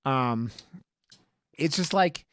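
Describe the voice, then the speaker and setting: A man chatting casually as a podcast co-host, rambling and excitable, with free swearing. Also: mid-range voice, very slow, smooth, dry recording, studio quality. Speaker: podcast co-host reviewing horror movies. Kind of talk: casual chat.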